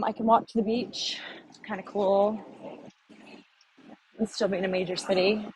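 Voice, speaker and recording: A woman's voice: mid-range voice, low-quality recording. Woman vlogging mid-walk, rambly and chatty, earbud mic, faint street noise. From United States. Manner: chatty, rambling, thinking aloud. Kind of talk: casual walking vlog narration. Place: outdoors on city streets.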